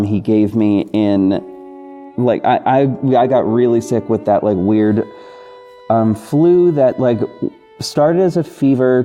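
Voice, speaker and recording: A man hosting a casual, unscripted podcast update, talking in a rambling, halting and effusive way. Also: medium pitch, dark tone. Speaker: podcast host and audio drama creator. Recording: dull muffled sound with music bed. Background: backing music.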